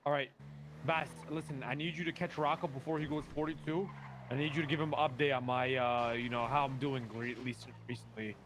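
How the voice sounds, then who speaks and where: A man's voice: mid-range voice, steady tone, a little fast, a little hiss or hum, lightly acted. A man playing a cocky, casual police officer in improvised online game roleplay, talking in blunt slang. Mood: conspiratorial.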